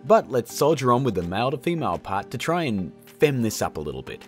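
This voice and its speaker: A man's voice: mid-range voice, very animated, fast talker. A man in his thirties hosting a video tutorial in a casual, jokey style. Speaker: host of a video tutorial show. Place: small indoor studio room. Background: faint music.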